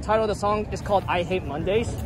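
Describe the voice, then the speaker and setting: An excitable, playful man chatting casually with fellow fans out in the open. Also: high-pitched, quick pace, music in the background. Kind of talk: casual fan chat. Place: open outdoor plaza. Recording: outdoor crowd noise. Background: crowd chatter and street sound.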